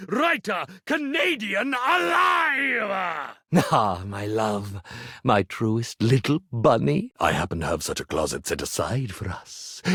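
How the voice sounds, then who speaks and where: Wildly theatrical lovestruck stage magician. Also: male voice, fairly high pitch, fairly bright tone, slightly rough, no room sound, clean studio sound, full character voice. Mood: romantic, wistful. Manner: theatrical, grandiose, swooning showman delivery. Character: flamboyant animated iron and ironing board, a would-be magician, lovestruck and grandiose. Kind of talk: video game character acting.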